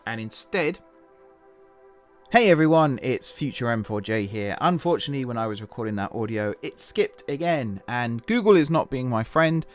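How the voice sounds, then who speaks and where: Casual male game narrator, mid-range voice, a touch hoarse, self-correcting ramble, soft music bed. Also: mid-range voice, expressive, moderate pace. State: slightly hoarse. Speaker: gaming channel host. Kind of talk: casual gameplay voice-over. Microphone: desktop voice-over mic.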